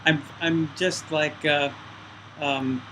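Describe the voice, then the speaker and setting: Male interviewer, a bit high-pitched, rambling and effusive, faint noise behind. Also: steady tone, slow, sharp tone, low-quality recording. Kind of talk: casual interview.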